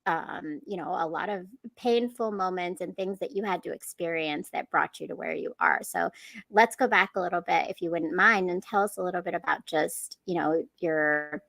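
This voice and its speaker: A woman hosting a live podcast interview, effusive and quick to laugh. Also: lowish voice, creaky, dry recording. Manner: warm, effusive, laughs often. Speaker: podcast host.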